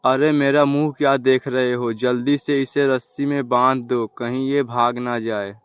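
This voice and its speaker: Man playing a blunt, unhurried young crow. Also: mid-range voice, flat delivery, clean tone, dry recording, natural voice. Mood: menacing. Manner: blunt, commanding. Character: hungry, gullible young crow, bossy eldest brother. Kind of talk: Hindi children's cartoon dialogue.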